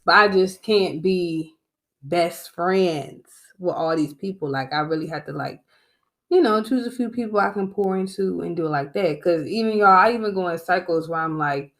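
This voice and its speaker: A woman in her twenties co-hosting a podcast in casual chat, animated and often laughing. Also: fairly low pitch, moderate pace. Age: 20s. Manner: animated, addresses listeners as "y'all", laughs often. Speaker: podcast co-host.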